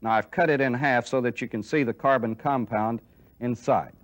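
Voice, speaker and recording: A middle-aged American man giving a technical lecture as a military instructor, deliberate and methodical, stressing key points by repeating them. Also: medium pitch. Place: indoor training film classroom studio with chalkboard and desk.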